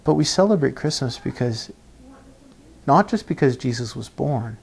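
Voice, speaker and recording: A man giving an informal expository sermon as a preacher, halting and thinking aloud, often checking in with a short tag question. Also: medium pitch, expressive, gravelly, slightly rough. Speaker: preacher teaching a Bible passage.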